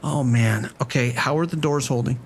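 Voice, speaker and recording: An actor playing a stressed casino security boss taking charge in a horror audio drama. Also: male voice, medium pitch, moderate pace, a little hiss or hum, lightly acted. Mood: worried.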